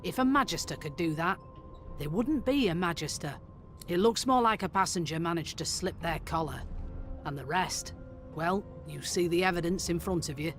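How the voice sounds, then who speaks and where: A woman's voice: on the low side, moderate pace, a bit bright, soft background music, close to an everyday voice. A woman playing a grim, matter-of-fact guard investigator with a wry edge in a video game. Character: grim, businesslike female magister guard investigating a murder.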